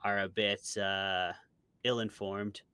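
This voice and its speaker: American man, low bright voice, crisp, dry close sound, casual interview chat, opinionated. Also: flat delivery, takes it slowly, dry recording. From small rural town in United States. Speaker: guest, author and podcast co-host.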